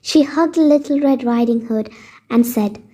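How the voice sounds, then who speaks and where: A woman's voice: on the high side, unhurried, mellow, lo-fi sound. A woman storyteller reading a scripted bedtime story for children.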